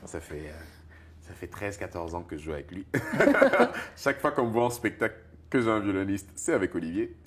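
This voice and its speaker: A deep baritone man from Togo, guest in an interview, talking in an animated way about his music and laughing often. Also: moderate pace, husky. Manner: animated, laughs often, repeats words when searching. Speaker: singer-songwriter and poet guest. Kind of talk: conversational interview.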